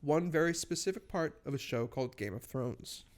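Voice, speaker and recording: A man's voice: on the high side, animated, normal speed. A young man co-hosting a podcast in casual chat, joking and laughing, sometimes getting stuck on words. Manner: joking, laughs a lot, gets hung up on words. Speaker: podcast co-host.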